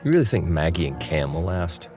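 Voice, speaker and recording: A man's voice: deep voice, very animated, a little fast. An actor playing a bitter, crude, bluntly scornful man in audio drama dialogue. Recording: guitar music behind the voice.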